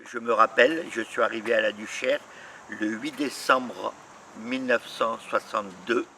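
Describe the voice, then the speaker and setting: A man's voice: medium pitch, moderate pace, pushed and bright, distant mic, slight background noise, poor audio. A man in his eighties, born in Algeria, treasurer of an association, speaking with hesitations. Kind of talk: recorded testimony.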